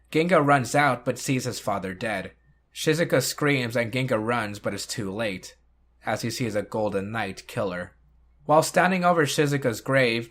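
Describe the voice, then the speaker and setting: Mid-pitched man narrating a scripted recap. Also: a bit bright. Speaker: retrospective video host.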